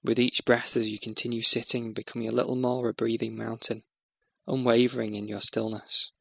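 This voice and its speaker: A man guides a meditation in a measured, soothing manner. His pitch stays very level, and there is a noticeable creak in the voice. The sound is close and very dry, as he gives gentle step-by-step instruction. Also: little pitch movement, dry recording.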